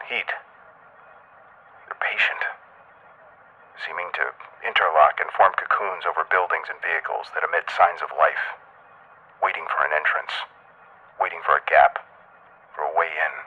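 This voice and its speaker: An actor playing a grave, measured official giving a formal, clinical briefing to the president in an audio drama. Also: male voice, low voice, muffled recording.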